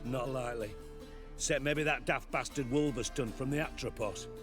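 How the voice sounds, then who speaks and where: A man's voice: medium pitch, moderate pace, over music, lightly acted. A man playing a surly, scornful sailor in a fantasy video game, speaking curtly. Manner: curt, scornful. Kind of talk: video game character acting.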